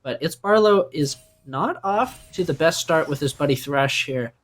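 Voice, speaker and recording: A man's voice: fairly high pitch, lively intonation, moderate pace, a bit bright. A man giving live analytical commentary on an esports match in a conversational, explanatory way.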